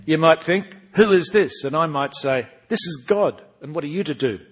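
Man reading as a sharp cheeky boy who loves books, husky, punchy animated telling. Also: on the high side, normal speed, voice pushed up. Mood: spirited. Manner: animated storytelling with emphatic rises. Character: sharp-tongued boy narrator, bookish and cheeky. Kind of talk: reading aloud from his own book.

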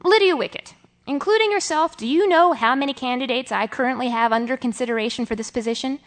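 A woman's voice: sits high, quick pace, lightly acted. Woman voicing a fussy, flustered male office interviewer. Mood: earnest, insistent. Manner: fussy, formal, hesitant phrasing. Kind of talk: audiobook character dialogue.

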